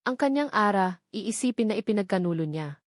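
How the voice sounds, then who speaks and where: A woman's voice: medium pitch, expressive, a little fast, dry recording. Woman narrating romantic drama, very dry sound. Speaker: story narrator.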